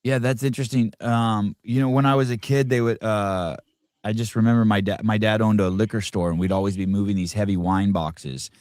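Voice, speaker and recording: A man's voice: on the low side, fairly even intonation, brisk, a little mellow, clean tone, no room sound, clean studio sound. Curious man host, stammering questions. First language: Armenian. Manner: curious, stammers on repeated words. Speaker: podcast host. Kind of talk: casual interview conversation.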